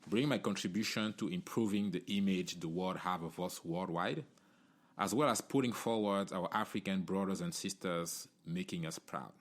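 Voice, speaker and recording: A man's voice: sits low, moderate pace. A middle-aged man from Cameroon, hosting a solo podcast in an earnest, conversational way, often tagging "you know" and "right?". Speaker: podcast host.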